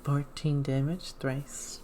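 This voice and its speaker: A soft-spoken, giggly woman doing an unhurried ASMR game playthrough and reading aloud. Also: low-pitched, level tone, slight background noise. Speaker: streamer playing a game.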